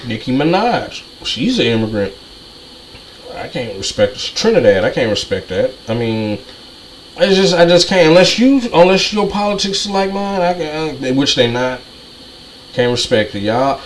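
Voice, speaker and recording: A man's voice: medium pitch, sing-song, normal speed, poor audio. Ranting man with faint noise behind. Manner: ranting, repetitive, profane. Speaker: commentator. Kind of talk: heated monologue.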